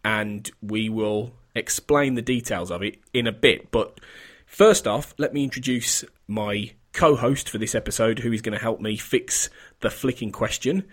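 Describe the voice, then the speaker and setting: A man's voice: on the low side, measured pace, fairly bright tone. A man hosting a film podcast, chatting casually with a slightly sniffly voice from an oncoming cold and often restarting his sentences. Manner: rambling, self-correcting, chatty. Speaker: podcast host.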